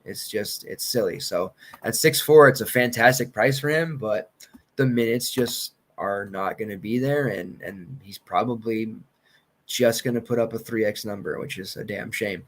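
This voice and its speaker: Hoarse man talking basketball picks. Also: lowish voice, moderate pace. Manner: loose, rambling, confident picks with filler and restarts. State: losing his voice, throat-clearing. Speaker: sports betting analyst. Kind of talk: casual solo podcast commentary.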